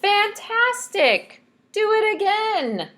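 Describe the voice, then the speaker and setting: A woman voicing a bold, clever young princess in a storybook, eager and flattering, with emphatic exclamations. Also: very high voice, slow pace, voice pushed up, heavily acted. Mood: loud, insistent.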